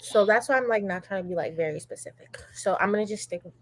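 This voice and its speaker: A woman's voice: mid-range voice, quick pace. A woman in her twenties on a casual panel, rambling and unsure of herself. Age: 20s. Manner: rambling, unsure, self-correcting. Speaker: debate panelist.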